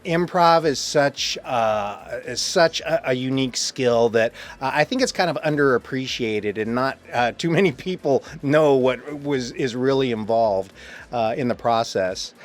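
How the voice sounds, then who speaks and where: A man's voice: mid-range voice, a little slow. A man hosting a casual interview. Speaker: interviewer, host.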